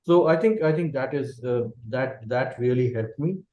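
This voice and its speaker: A middle-aged man with an Indian English accent and a Bengali mother tongue, talking through his answers in a reflective way. His voice is dark and mellow. It sounds roomy and a little dull, like a remote call. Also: medium pitch, lively intonation, moderate pace. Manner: thoughtful, checks in with a frequent "okay". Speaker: guest, company managing director.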